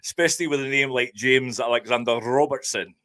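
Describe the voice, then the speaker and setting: A man's voice: medium pitch, fairly quick, fairly bright tone. A man with a Geordie accent from northeast England, talking earnestly as a guest with many fillers and restarts. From Scotland. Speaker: podcast host guest. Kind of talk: casual interview talk.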